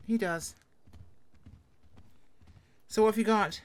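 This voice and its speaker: A woman's voice: mid-range voice, takes it slowly. A woman hosting a gaming channel, chatting casually and improvising in-character roleplay talk.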